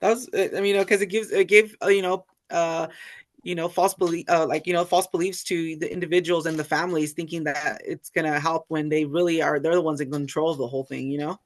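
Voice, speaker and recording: A young college woman reading questions aloud and answering haltingly, with many "uh"s and "you know"s. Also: sits low, fairly even intonation, no room sound. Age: young adult. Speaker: college student.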